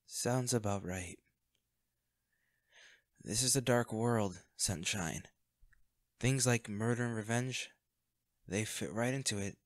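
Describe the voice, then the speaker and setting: A man's voice: fairly low pitch, slow, pauses often, no room sound. Man acting a protective bodyguard, close dry sound. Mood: grave, determined. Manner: earnest, reassuring, grave. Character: protective, devoted male bodyguard with a dark past. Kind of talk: intimate audio roleplay acting.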